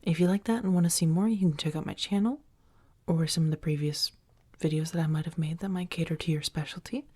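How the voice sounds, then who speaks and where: A soft-spoken woman, an audio roleplay creator, chatting gently and appreciatively to her listeners. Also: sits low, steady tone, quick pace.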